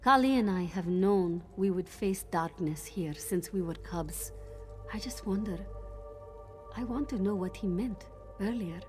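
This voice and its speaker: A woman playing a young cat-woman mystic in a fantasy game, earnest and reflective, sometimes halting. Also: mid-range voice, music in the background, lightly acted. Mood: subdued, resolved. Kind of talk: dramatic fantasy game acting.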